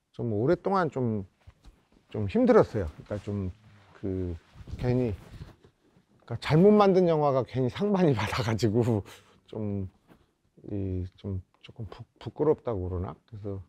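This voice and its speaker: A man in his fifties from South Korea reminisces as a film director in a reflective interview, often pausing to search for words. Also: medium pitch, gravelly, dark tone. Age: 50s. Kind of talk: reflective oral-history interview. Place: indoor interview set before a photo backdrop.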